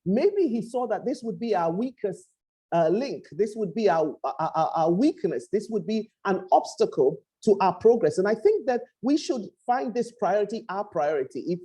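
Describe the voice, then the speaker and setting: A woman pastor with a Ghanaian accent preaches to a remote audience in an earnest, reflective way. Her voice is mellow and warm, and she often hesitates and repeats words. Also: medium pitch, lively intonation, normal speed, husky. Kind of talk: sermon-style talk. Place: home in Accra, speaking to a remote audience.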